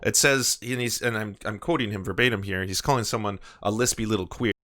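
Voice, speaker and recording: Indignant man with a bright, forceful voice. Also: fairly low pitch, a little fast. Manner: indignant, earnest complaining. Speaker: prank caller posing as churchgoing parent. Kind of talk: prank phone call.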